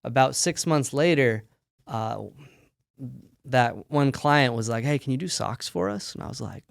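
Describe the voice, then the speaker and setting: A man's voice: mid-range voice, vocal fry, no echo, studio quality. A man, the founder of a sock company, telling his story casually in a podcast interview with fillers and easy laughs. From United States. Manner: relaxed storytelling with frequent fillers and quick laughs.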